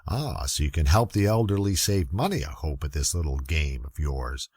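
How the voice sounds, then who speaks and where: A man playing a weary, gruff, middle-aged police lieutenant, narrating a radio murder mystery with wry, folksy grumbling in a gravelly voice. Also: rumbling low, moderate pace, a little mellow, no room sound, studio quality. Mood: grumbling, probing. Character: gruff, weary old-school male police lieutenant narrating hardboiled detective story.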